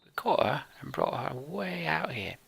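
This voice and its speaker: A man voicing an agitated guard in a fantasy game, reporting in a loud, urgent manner. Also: on the high side, very animated, a little slow, gravelly, breathy edge, voice pushed up, full character voice. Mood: alarmed.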